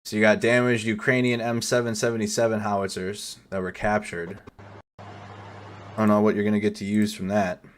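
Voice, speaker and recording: A man's voice: on the low side, little pitch movement, normal speed. A man giving casual live commentary over war footage as a news streamer, swearing and stumbling over foreign names.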